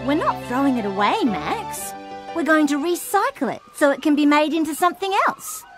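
A woman's voice: high voice, lively intonation, normal speed. A woman voicing Millie, a sweet young farm girl puppet in a children's show. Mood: cheerful. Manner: sing-song, warm and gently enthusiastic. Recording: studio voice over music.

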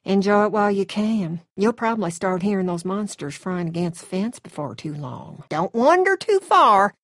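A woman acts out a middle-aged Southern farm matriarch for a video game. Her voice swings expressively over a medium pitch, with a homespun drawl. She is coaxing and sweetly polite, with something sinister under the motherly manner. The recording is close and dry. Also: lively intonation, moderate pace, no echo, close to an everyday voice. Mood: earnest. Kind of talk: acted video game dialogue.

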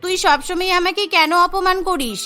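Woman voicing an earnest, high-pitched little cartoon schoolgirl. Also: moderate pace, sharp tone, faint music behind. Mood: hurt, indignant. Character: eager, affectionate, proud poor little schoolgirl.

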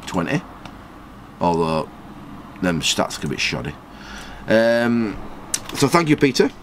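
A man's voice: sing-song, slight background noise. A man, casually commenting on a football management game and reading out viewer comments in a small storeroom. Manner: chatty, rambling, quick jumps in pitch when surprised. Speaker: gaming channel host.

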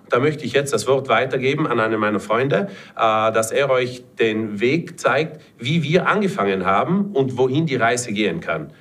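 A man in his thirties hosting and introducing a network marketing panel in a friendly, slightly halting way. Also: medium pitch, animated, fairly bright tone. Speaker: panel host.

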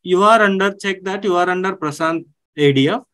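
A man in his thirties walking viewers through a software task on screen, step by step, with many "okay" and "so" fillers. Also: high voice, a little fast. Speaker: online tech instructor. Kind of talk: screen-share tutorial walkthrough. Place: indoors at a desk.

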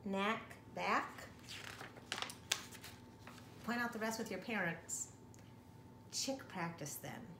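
A middle-aged woman reads a children's picture book aloud in an expressive teacher's manner. Her pace is unhurried and her pitch sits in an average range. The room adds noticeable echo to the home recording. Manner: expressive, addressing children with questions. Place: living room at home, indoors. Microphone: camera or phone a short distance away. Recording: roomy home recording with faint noise.

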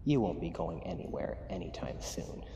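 A man playing a cold, menacing, possessive mad scientist in an ASMR roleplay, clinical and taunting in a hushed way. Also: deep voice, big pitch swings, moderate pace, creaky, a little hiss or hum, exaggerated voice. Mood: possessive, sinister. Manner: clinical dictation mixed with hushed, taunting asides.

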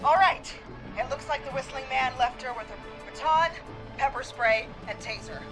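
A woman's voice: sits high, normal speed, over music, close to an everyday voice. A woman acting a resolute but frightened police dispatcher in a video game, urgent and hesitant under stress. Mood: hushed, resolute.

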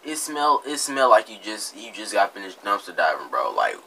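A man's voice: fairly low pitch, very animated, normal speed, creaky, noisy background. A young American man giving casual, slangy comedic commentary, mocking and exasperated, often repeating himself. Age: young adult. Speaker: reaction video host. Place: indoor room with green screen.

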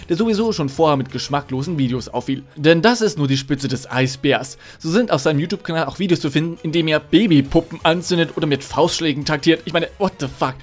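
A young man hosting a commentary video, delivering scripted narration in an indignant, sarcastic, lively way over faint music. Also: medium pitch. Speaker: YouTube commentary host.

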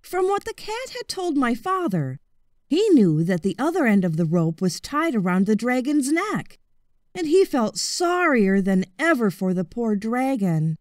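Woman, children's audiobook narrator, lively intonation, expressive, measured delivery, dry studio-like sound. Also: on the high side, dry recording.

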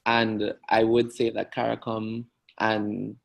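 Gravelly young Antiguan man. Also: lowish voice, completely flat delivery, slow. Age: young adult. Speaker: podcast guest. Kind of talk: thoughtful interview talk.

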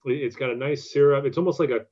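A man in his thirties in relaxed podcast conversation, speaking from home on a video call. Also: medium pitch, fast talker. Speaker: podcast co-host. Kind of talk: casual chat. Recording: slightly dull lo-fi call audio.

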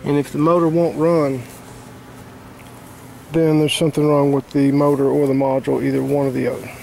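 A man's voice: on the high side, flat delivery, brisk, creaky, darkish tone, slight background noise, low-quality recording. A man working as an HVAC technician, talking through a repair step by step outdoors in a plain, casual way with many fillers. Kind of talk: casual hands-on repair demonstration. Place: outdoors beside an air-conditioning unit.

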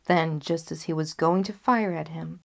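A young woman storyteller narrating a scripted fairy tale in a careful, measured read-aloud manner. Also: low voice, creaky. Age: young adult. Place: small curtained indoor space, seated.

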